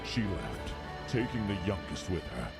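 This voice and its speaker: Grieving abandoned father for a game: extremely low, solemn, widely swooping delivery. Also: big pitch swings, exaggerated voice. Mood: regretful. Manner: slow, solemn storytelling. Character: sorrowful abandoned father recalling lost love. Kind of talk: dramatic game character narration. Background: game music.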